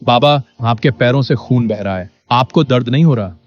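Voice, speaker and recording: A man's voice: mid-range voice, normal speed, lightly acted. Cartoon story hero, male actor: humble honest villager, earnest, mid-range voice, music behind. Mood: helpful, concerned. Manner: earnest, polite. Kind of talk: animated Hindi moral story acting. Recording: dull lo-fi audio with music behind.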